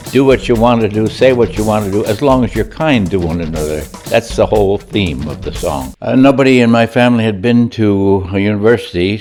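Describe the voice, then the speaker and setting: A man's voice: lowish voice, a little mellow. An older man, a chorus member, telling stories in a chatty, wry way during a documentary interview.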